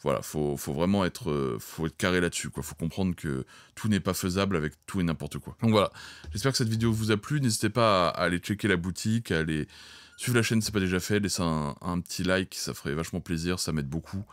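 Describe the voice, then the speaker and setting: A low-pitched man walks viewers through audio mixing. He talks in a colloquial, explanatory way, addressing them directly. The sound is close and very dry. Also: fast, no room sound. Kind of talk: informal spoken tutorial.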